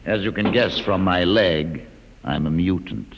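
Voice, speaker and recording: An actor playing a calm, educated male mutant leader in a 1950s radio drama, measured and quietly ironic. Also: low-pitched, creaky, noisy background. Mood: calm, wry. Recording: old radio drama with long reverb.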